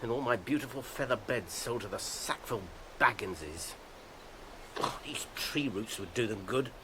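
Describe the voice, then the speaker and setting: An actor with an English accent playing a wry, brisk gentleman hobbit in a radio drama, expressive in manner. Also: medium pitch, background noise, natural voice. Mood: grumbling. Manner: animated, expressive.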